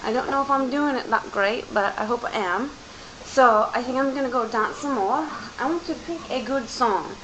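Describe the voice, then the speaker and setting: A young woman with a slightly high voice chats loosely to her camera at home. Her talk rambles and stays informal. The recording has clear background noise. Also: normal speed, fairly bright tone, low-quality recording. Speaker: vlogger. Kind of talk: casual chat to camera. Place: home room among moving boxes.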